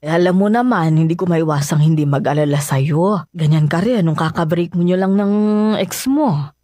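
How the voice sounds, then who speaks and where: A man's voice: fairly high pitch, normal speed, a little mellow, dry recording, natural voice. Man doing a fussy, worried mother. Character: worried middle-aged Filipino mother, nagging but caring. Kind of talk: radio drama acting. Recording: dry studio with music bed.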